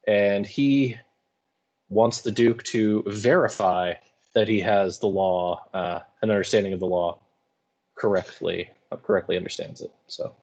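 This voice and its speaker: A male English teacher with a fairly low voice talks through a lesson informally. His phrasing is colloquial and wry, more like explaining to students than reading a script. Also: darkish tone. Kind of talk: informal lecture commentary.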